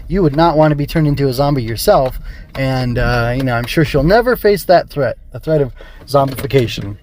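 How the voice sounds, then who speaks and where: Higher-voiced man rambling over background noise. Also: darkish tone. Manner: rambling, thinking aloud from notes. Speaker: podcast host reviewing an audio drama. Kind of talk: casual solo review commentary.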